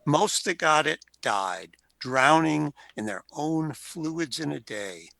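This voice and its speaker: An actor playing the ghost of a World War One veteran, telling his story slowly in an amateur radio play. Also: on the high side, animated, creaky, bright, forceful tone, no echo, exaggerated voice. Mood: grave.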